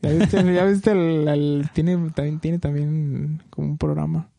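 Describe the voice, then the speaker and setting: A young Mexican man talks as a slangy podcast guest. His voice sits a bit high yet is very mellow and relaxed, with a gravelly creak, recorded dry. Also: measured pace, no room sound. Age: young adult. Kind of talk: casual slangy chat.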